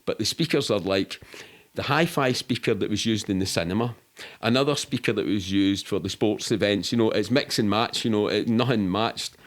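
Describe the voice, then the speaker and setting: Low-pitched Scottish man telling stories. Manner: colloquial, rambling, sweary. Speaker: interview guest. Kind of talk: casual anecdotal storytelling.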